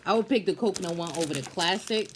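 A woman's voice: on the low side, fast, noisy background. A middle-aged woman from Peru, hosting a casual family snack review in a small room, chatting loosely and talking over the others. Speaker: mother and channel host. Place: small wood-paneled room indoors.

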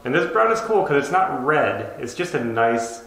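A man's voice: medium pitch, sing-song, moderate pace, fairly bright tone. A man in his thirties talking casually to camera, explaining things as a home renovation vlogger. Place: empty bedroom under renovation, indoors. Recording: very echoey empty room.

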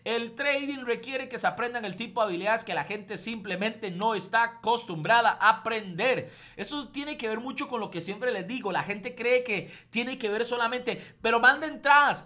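A man with a Costa Rican accent leads a trading class with upbeat, emphatic energy. His voice is bright and forward, and he often repeats words for emphasis. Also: very high-pitched, lively intonation, moderate pace, few pauses. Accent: Costa Rican Spanish. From Costa Rica. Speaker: trading instructor. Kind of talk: motivational class talk.

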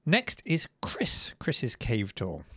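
A man's voice: medium pitch, huge pitch swings, takes it slowly, a bit bright, husky, dry recording, studio quality. A man hosting a live stream, chatting and reacting to viewers' videos. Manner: chatty, enthusiastic. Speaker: host.

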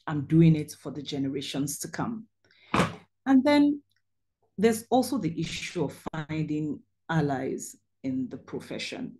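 A middle-aged woman speaks into a video call from a small room and hosts a talk over slides. Her voice sits low and mellow, and she tells her story earnestly with many pauses and ums. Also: very animated. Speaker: webinar host and surgeon.

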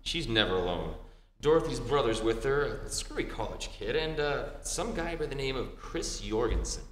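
In a reverberant, faintly noisy hall, a man plays a shrewd radio-play detective. He is unflappable and teasing, with lively swings of pitch in his dry questions. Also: moderate pace, lightly acted. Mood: matter-of-fact. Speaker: amateur stage actor. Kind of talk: old-time radio play re-enactment. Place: live performance in a theatre room.

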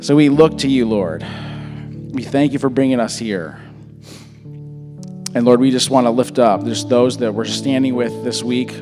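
A man in his thirties, a little hoarse, giving a relaxed church talk and prayer into a handheld mic, full of fillers and restarts. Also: medium pitch, moderate pace, long pauses. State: slightly hoarse. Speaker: pastor. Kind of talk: informal sermon and prayer. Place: large church stage. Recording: church hall reverb. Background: soft worship music.

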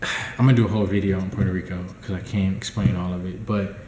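Young guy talking low and even, lots of ums, echoey room with a little hiss. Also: fast talker. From United States. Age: young adult. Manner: relaxed, rambling, many ums. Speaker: dietitian vlogger. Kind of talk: casual vlog update. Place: small indoor room at home. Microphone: laptop or phone camera at close range.

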